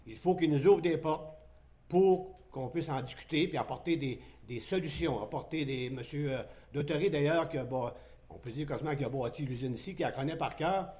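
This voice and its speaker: A man, a town mayor, giving a measured press conference statement with frequent hesitations. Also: a little high, mellow. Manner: measured, hesitant, many fillers.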